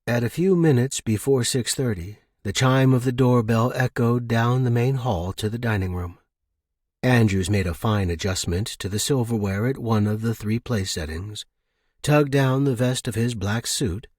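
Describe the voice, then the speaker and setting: A man's voice: mid-range voice, moderate pace, a little mellow, no echo, studio quality. A man hosting a fiction podcast and narrating short stories. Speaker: podcast host and story narrator. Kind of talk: casual intro and scripted audiobook narration.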